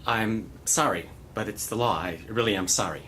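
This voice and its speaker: A man's voice: on the low side, sharp tone, a little hiss or hum. A man voicing a nervous male boss who stammers and apologizes. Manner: halting, stammering.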